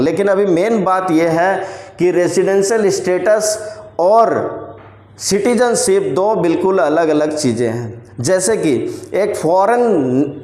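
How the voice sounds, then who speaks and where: An Indian man gives a commerce lecture in a fairly high voice, going slowly and repeating each point so students can follow. His voice rings with the echo of a bare room. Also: darkish tone. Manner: explains slowly, repeats points, frequent "theek hai" check-ins. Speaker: commerce teacher. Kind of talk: classroom lecture on tax rules. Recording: roomy echoey room sound.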